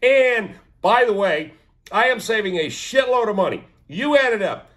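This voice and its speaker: A man in his sixties talks straight to his viewers in a loose, rambling way, full of fillers and false starts. His voice sits a little high for a man, and his nose sounds sniffly and stuffed-up. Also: very animated, moderate pace, fairly bright tone. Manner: rambling, many fillers and restarts. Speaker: vlogger. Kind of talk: casual talk to camera.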